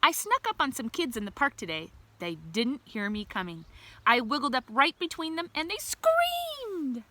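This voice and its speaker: A woman's voice: high-pitched, sing-song, normal speed, bright, forceful tone, dry recording, heavily acted. A woman voicing a young, mischievous earthworm from a storybook, telling his diary with childlike earnestness. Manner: earnest, childlike, simple diary-style statements.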